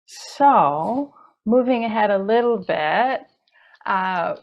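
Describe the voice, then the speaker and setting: A woman's voice: medium pitch, animated, takes it slowly, vocal fry, muffled recording. A woman hosting a webinar, encouraging and reading out comments from the chat. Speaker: webinar host. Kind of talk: webinar moderation.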